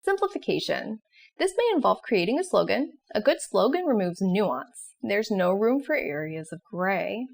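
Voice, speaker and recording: A woman with a strongly creaky, fry-laden voice reads scripted educational narration. She presents concepts as the host of an explainer series, at an ordinary middle pitch. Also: big pitch swings, normal speed.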